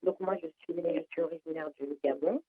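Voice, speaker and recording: A woman calling into a radio show, hesitant and halting, heard over a poor phone line. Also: low voice, fairly even intonation. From France. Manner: hesitant, many fillers and restarts. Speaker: caller.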